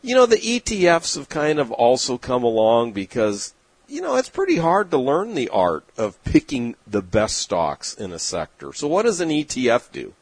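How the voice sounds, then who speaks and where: Middle-aged male fund manager, husky, opinionated and folksy; dry, close recording. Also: mid-range voice, huge pitch swings, normal speed, no room sound, poor audio. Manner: plain-spoken, opinionated, folksy, many "uh"s. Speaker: investment manager and newsletter writer. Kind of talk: direct-to-camera market commentary.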